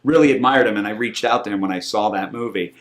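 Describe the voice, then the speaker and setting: A man's voice: fairly low pitch, fast. A middle-aged American man from Massachusetts chatting enthusiastically and effusively as a film producer being interviewed. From Massachusetts, USA. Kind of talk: casual promotional interview chat.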